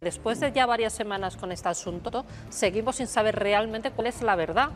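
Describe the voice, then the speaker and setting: A woman's voice: mid-range voice, normal speed, faint music behind. A woman on a TV talk show panel who insists on her points and recounts what sources told her. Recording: TV broadcast audio with faint noise.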